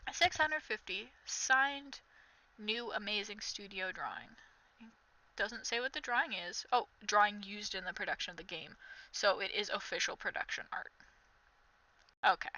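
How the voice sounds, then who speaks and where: A woman's voice: medium pitch, measured pace, long pauses, bright, forceful tone, no echo, rough recording. A young woman doing sarcastic reaction commentary, reading text aloud and mocking it with exasperation, in a somewhat nasal voice. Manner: mocking, exasperated, reads aloud then jabs. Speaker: commentary vlogger.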